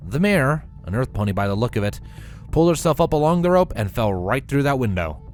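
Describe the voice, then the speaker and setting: A man narrating a scripted story with a dramatic, expressive delivery. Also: medium pitch, fairly quick, soft background music.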